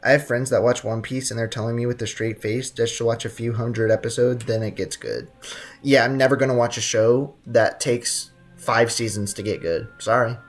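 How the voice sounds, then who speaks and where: Young male streamer, playful slangy banter, medium pitch, faint music behind. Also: normal speed. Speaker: video game live streamer. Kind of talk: casual chat while gaming. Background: game music.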